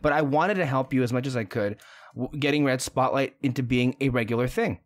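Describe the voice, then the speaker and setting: A man's voice: medium pitch, brisk. A man co-hosting a podcast, reflecting aloud in casual chat and often restarting his sentences. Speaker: podcast co-host.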